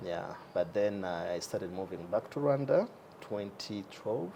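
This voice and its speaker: A man's voice: lowish voice, lively intonation, a little slow, vocal fry, dark tone, a little hiss or hum. A young man as an interview guest, talking casually with many fillers and easy laughs. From Uganda. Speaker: guest, entrepreneur.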